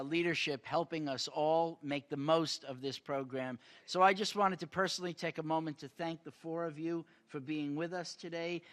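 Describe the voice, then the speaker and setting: Measured male organizer, higher voice. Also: a bit bright. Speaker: event organizer. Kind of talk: formal welcome remarks.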